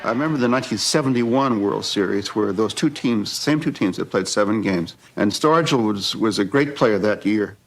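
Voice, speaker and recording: Older guy reminiscing, low husky voice, lots of gravel in it. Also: moderate pace, hardly any pauses. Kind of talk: reflective interview reminiscence about baseball.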